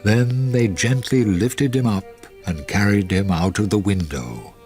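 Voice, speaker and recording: A man's voice: lowish voice, a little slow, darkish tone. A man narrating a radio drama in a scripted storytelling style. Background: music with violin.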